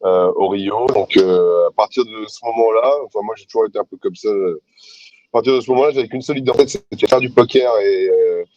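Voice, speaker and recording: Relaxed man in his forties on a call. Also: fairly low pitch, a little fast, no room sound, dull audio. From New Caledonia, raised in France. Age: 40s. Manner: relaxed, chatty, many fillers. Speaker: interview guest, poker commentator. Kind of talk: casual remote interview chat. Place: outdoor terrasse, sitting on a pouf. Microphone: phone on a video call.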